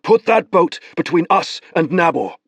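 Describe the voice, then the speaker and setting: Urgent commanding warrior, voiced by a man, raised and lively, close dry sound. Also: on the high side, moderate pace, husky, no echo, recorded from a distance, voice pushed up, exaggerated voice. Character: determined male warrior leader under strain.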